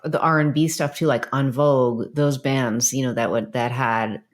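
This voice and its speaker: A woman's voice: a bass voice, steady tone, moderate pace. Woman, podcast co-host, casual chat, informal, loose phrasing, thinking aloud.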